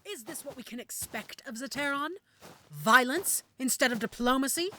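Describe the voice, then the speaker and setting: A haughty, scheming queen, played by a woman in a fantasy audio drama. Her voice is bright and forceful with very animated pitch, and she speaks formally and theatrically, as one used to being obeyed. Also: normal speed, slight background noise. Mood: haughty indignation. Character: scheming, imperious deposed queen, mother of the prince. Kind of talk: fantasy audio roleplay acting.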